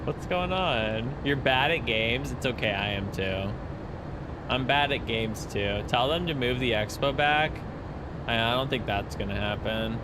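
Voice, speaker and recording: Chatty young man streaming, noisy mic. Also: medium pitch. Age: 20s. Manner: chatty, rambling, quick asides to chat. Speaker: flight sim streamer. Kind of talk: casual chat with stream viewers.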